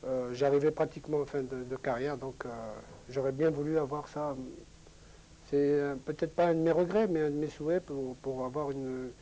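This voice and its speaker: A man's voice: a little high, fairly even intonation, normal speed, dark tone, poor audio. An older man, a former footballer, recalling his career in an interview, hesitant with many fillers. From France. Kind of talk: reminiscing interview.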